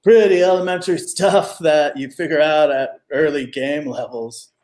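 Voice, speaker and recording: A man's voice: fairly high pitch, normal speed, a little mellow, natural voice. A middle-aged man playing a nerdy, pedantic, game-obsessed sidekick in a comedic radio play over a video call. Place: room at home, on a video call.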